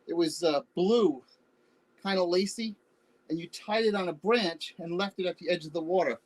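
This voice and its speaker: A man acting the part of a teasing, sentimental partner in a loving couple in a play reading, with halting, bantering delivery. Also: high-pitched. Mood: fond reminiscing. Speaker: actor in a staged reading. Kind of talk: naturalistic stage play reading. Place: remote virtual reading over video call.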